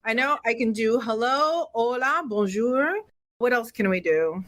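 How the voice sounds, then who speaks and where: A woman's voice: on the high side, expressive, a little slow, fairly bright tone. Playful, laughing woman podcast co-host. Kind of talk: casual podcast chat.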